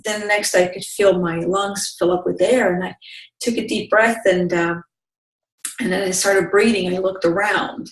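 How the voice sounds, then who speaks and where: A woman in her thirties, a speaker telling her life story calmly as an interview guest, often restarting her sentences. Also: mid-range voice. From Bosnia. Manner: calm, measured storytelling with frequent restarts. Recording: distant, roomy sound.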